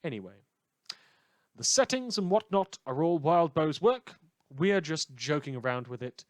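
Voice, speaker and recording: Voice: medium pitch, big pitch swings, measured pace, dry recording. Hesitant podcast creator, very dry sound. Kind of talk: informal spoken credits for a fan production.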